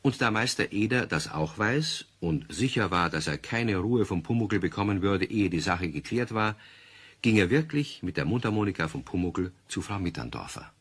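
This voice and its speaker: Deep, husky male story narrator. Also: expressive, moderate pace, a bit bright. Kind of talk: scripted children's story narration.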